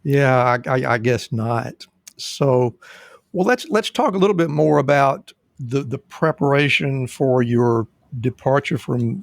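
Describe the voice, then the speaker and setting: A man hosting a podcast interview, speaking haltingly with many fillers and restarts. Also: mid-range voice, gravelly, no echo, clean studio sound. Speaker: podcast host.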